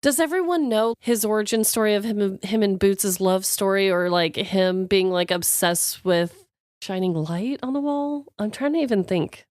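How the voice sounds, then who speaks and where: A woman co-hosting a podcast, telling personal stories in an expressive, giggly, casual way with some swearing. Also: mid-range voice, moderate pace, dry recording, clean studio sound. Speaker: podcast co-host. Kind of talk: casual chat, animated personal storytelling.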